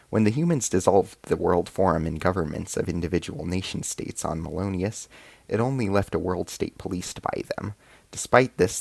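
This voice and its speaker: A man playing a grave alien rebel squad chief, narrating his war story in a formal, measured way for an audio drama serial. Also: low-pitched, vocal fry, no echo. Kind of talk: first-person scripted sci-fi narration.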